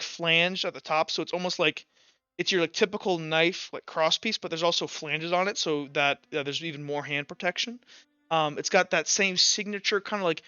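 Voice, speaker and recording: A man working as game master, improvising tabletop game narration in halting, repetitive, casual phrases. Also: fairly high pitch, bright, forceful tone, clear, resonant tone, no room sound, highs cut off.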